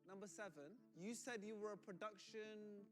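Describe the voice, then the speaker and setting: Joking man in his forties with a high voice. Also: fairly even intonation, normal speed, over music. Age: 40s. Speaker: game show contestant, holistic coach. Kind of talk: casual game show banter.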